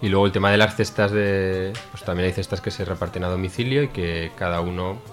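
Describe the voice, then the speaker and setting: A man's voice: low-pitched, steady tone, brisk, soft background music. A man speaking as a studio guest on a radio show, explaining things in a hesitant way with many restarts. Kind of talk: casual explanatory talk.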